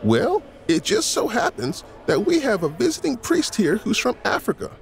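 An actor playing a kindly, upbeat church class teacher in an audio drama, friendly and encouraging. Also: male voice, high-pitched, huge pitch swings, moderate pace, creaky, heavily acted. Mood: helpful.

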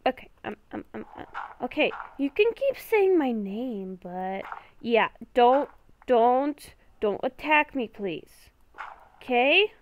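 Nervous young werewolf woman, acted by a female gamer, Minecraft roleplay; halting, repetitive speech, high register, very animated pitch. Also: muffled recording, a little hiss or hum. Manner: halting, stammering, repeats herself. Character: nervous young woman werewolf, hearing ghostly voices at night.

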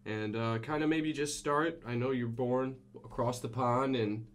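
Young man, American accent, medium pitch, casual podcast interviewer, faint hum. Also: fairly even intonation, unhurried. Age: young adult. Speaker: podcast host. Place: small indoor room with acoustic panels. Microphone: podcast boom microphone.

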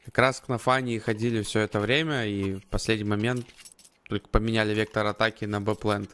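A man co-commentating on an esports match, giving measured tactical analysis in short, broken phrases. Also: on the low side, little pitch movement, fairly bright tone, clear, resonant tone. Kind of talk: live analytical Counter-Strike commentary.

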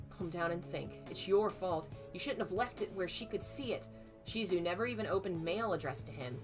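A woman in her thirties reads a novel aloud from a small room at home, her voice a little hoarse. She voices the dialogue with feeling and lifts her pitch for the frightened lines. Faint music plays quietly behind her. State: slightly hoarse. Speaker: streamer reading a novel aloud. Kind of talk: live book reading, then casual sign-off.

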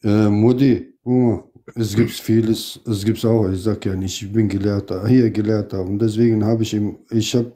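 A man from Iraq whose first language is Arabic, a guest on a live stream who talks casually in halting, simple phrases. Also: fairly low pitch, fairly even intonation, mellow. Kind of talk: casual chat.

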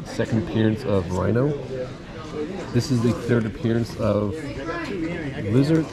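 A middle-aged man reselling collectibles, talking casually to camera at an auction house and thinking aloud as he goes. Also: lowish voice. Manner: chatty, thinking aloud with restarts. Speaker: reseller vlogger. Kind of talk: casual running commentary.

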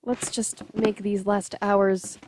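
A woman's voice: medium pitch, flat delivery, slow pace, darkish tone, a little hiss or hum, lightly acted. A woman playing a bossy, flirtatious widow in an audio drama. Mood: bossy, coaxing. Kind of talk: podcast audio drama acting.

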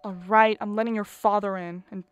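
A woman's voice: medium pitch, flat delivery, a little fast, lo-fi sound, background noise, close to an everyday voice. A woman playing a nervous, harassed middle-aged mother of the bride in a radio play, pleading and exasperated. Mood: panicked.

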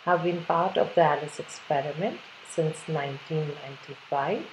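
A woman from India, a physics professor, calmly recounting her long career in a self-introduction to a webcam at home. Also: low-pitched, slight background noise, low-quality recording. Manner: measured, listing career history. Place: home room.

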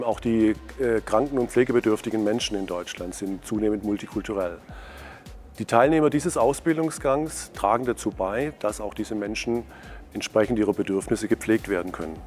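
A middle-aged man speaking into a lapel mic, calmly explaining a nursing training program as its instructor, with a few fillers. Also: on the low side, soft background music. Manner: measured, explanatory, some fillers. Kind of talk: interview statement.

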